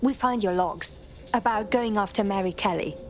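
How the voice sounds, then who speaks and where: Actress as determined hacker woman in a game, straight to the point, normal pitch, music loud behind her. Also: moderate pace, natural voice. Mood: concerned. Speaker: resistance operative character. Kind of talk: video game acting.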